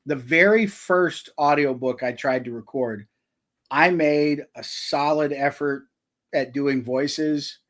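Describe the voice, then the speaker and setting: A man's voice: slow, fairly bright tone. A man, an author and audiobook narrator, chatting casually on a live stream about his home recording setup, a little self-deprecating, with frequent restarts. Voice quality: slightly high voice. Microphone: cheap stand mic in a foam sound box.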